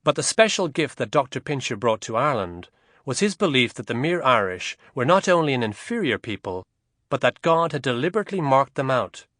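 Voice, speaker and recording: A man narrating a historical novel as an audiobook, in a measured, expressive storytelling manner. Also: medium pitch, no echo, studio quality.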